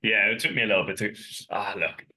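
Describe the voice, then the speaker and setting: Very deep-voiced, bright-toned Welsh man. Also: fast talker. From Wales. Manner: relaxed and candid, rambling with fillers. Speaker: podcast guest, fitness coach. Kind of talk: casual chat. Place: in Ireland, remote.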